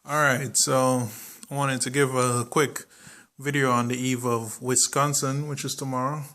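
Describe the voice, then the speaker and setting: A man's voice: medium pitch, little pitch movement, a little slow, sharp tone. A young man with a Jamaican accent giving casual political commentary to his viewers, chatty and informal with frequent ums and the odd swear word. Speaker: political vlogger.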